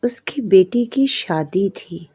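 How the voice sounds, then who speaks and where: Dark, husky woman storyteller. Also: mid-range voice, lively intonation. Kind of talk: scripted narration of a moral story.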